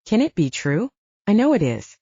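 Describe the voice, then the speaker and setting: A woman reading fiction as a first-person narrator, with expressive, measured storytelling. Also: mid-range voice, husky, no room sound, dull audio. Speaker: audiobook narrator.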